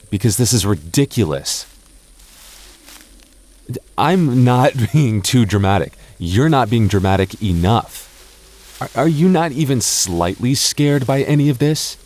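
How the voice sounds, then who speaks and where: A man's voice: on the low side, lively intonation, measured pace, darkish tone. A young man playing an anxious, easily spooked but protective goth boyfriend in a roleplay audio drama, with a hesitant, stammering, sighing delivery. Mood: nervous, exasperated.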